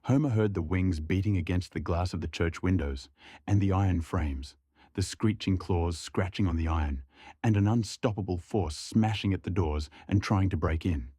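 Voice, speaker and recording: Low-voiced man narrating a dark tale. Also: moderate pace, slightly rough, no echo, clean studio sound. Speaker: storyteller, narrator. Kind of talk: scripted narration of a folk horror tale.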